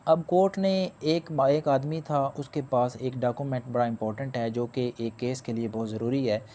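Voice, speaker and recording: Dark-voiced man explaining law. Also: medium pitch, normal speed, slight background noise. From Pakistan. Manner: explanatory, repeats and rephrases points, mixes English legal terms. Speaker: law lecturer. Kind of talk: spoken law lecture.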